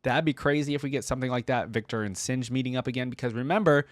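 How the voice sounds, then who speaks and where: A man's voice: mid-range voice, quick pace, no room sound. A young man hosting a casual reaction video, talking in a rambling, emphatic, chatty way. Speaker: YouTube reaction host.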